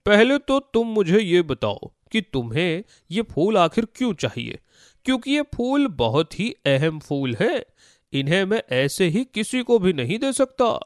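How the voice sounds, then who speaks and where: A man's voice: high voice, very animated, unhurried, dry recording, studio quality. Man voicing a challenging crocodile guardian. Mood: stern, challenging. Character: riddle-posing crocodile guarding magical river flowers, testing but fair. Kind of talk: Hindi children's moral story voice acting.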